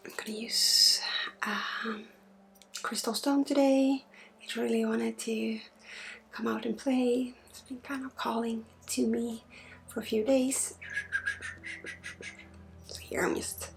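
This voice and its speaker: A woman from Sweden in soft-spoken ASMR as a spiritual energy healer, drifting between a murmur and a breathy whisper. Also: on the high side, steady tone, slow, long pauses, slight background noise. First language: Swedish.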